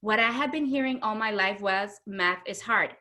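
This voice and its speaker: A woman's voice: mid-range voice, moderate pace, sharp tone. A middle-aged woman from Honduras speaking as an interview guest, telling stories conversationally and emphatically, acting out what people say. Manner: emphatic, acts out quoted speech. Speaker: interview guest, homeschooling mother. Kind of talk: animated conversational storytelling.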